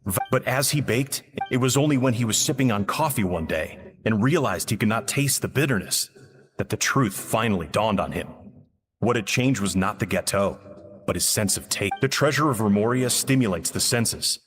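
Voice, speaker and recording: A man voicing a melancholy, guilt-ridden former adventurer in a video game monologue, speaking in a somber, measured way. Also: on the low side, animated, a bit bright. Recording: long reverberant echo, music underneath.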